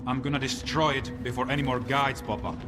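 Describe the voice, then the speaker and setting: A man's voice: medium pitch, fairly even intonation, a little fast, over loud music, close to an everyday voice. Man acting a confrontational game tough guy. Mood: incredulous, hostile. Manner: blunt, profane, confrontational. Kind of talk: video game cutscene acting.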